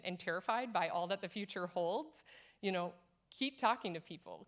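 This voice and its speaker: A woman's voice: fairly low pitch, fairly bright tone. A woman speaking from a conference stage, earnest and encouraging as she presents leadership ideas to an audience. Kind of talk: conference keynote talk.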